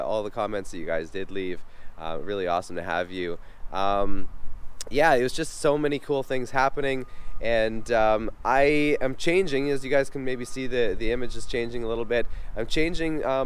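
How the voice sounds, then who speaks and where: A man in his thirties chatting casually to camera as a vlog host, congested with a head cold, speaking informally and a bit haltingly outdoors into a camera mic at arm's length. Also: lowish voice, clean tone. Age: 30s. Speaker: vlog host, helicopter company owner. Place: outdoors on an open helipad. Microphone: external camera mic at arm's length.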